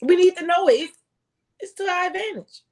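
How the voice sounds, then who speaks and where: A woman's voice: very high voice, expressive, brisk, a little mellow. An American woman speaking as a former tax agent, giving folksy, emphatic advice and repeating phrases for stress. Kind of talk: impassioned conversational tax advice.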